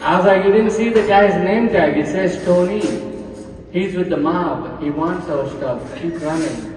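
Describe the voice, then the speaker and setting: A man from New Jersey doing a stand-up set, telling deadpan stories and acting out quotes. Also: high-pitched, moderate pace, a crowd in the background. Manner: deadpan storytelling with acted quotes. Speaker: stand-up comedian. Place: event hall with a crowd. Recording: echoey hall, mic heard from far off.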